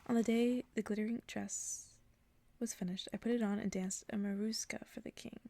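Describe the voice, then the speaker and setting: A woman reading a story aloud as ASMR in an intimate, hushed whisper. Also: mid-range voice, steady tone, moderate pace, no echo. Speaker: ASMR reader.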